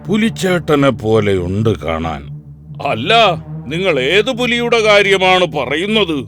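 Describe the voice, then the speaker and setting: A man's voice: a little high, very animated, measured pace, over music. A man voicing a menacing, boastful but gullible snake in a children's cartoon, with theatrical drawling and muttered asides. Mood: wary, placating.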